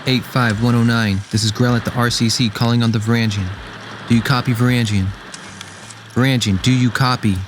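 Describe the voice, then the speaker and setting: A man's voice: mid-range voice, fairly even intonation, a little fast, noisy background, lightly acted. A man playing an earnest, proud mechanic and android builder in an amateur sci-fi radio drama. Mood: urgent.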